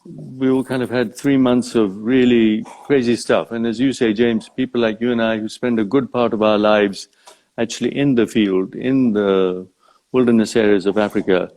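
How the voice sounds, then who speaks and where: An older man from Uganda talks as a guest in a live video chat. He speaks in a reflective, measured way, often pausing and rephrasing. His pitch sits in an average male range. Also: steady tone, a little mellow. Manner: halting, thoughtful, measured phrasing. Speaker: interview guest, safari company founder.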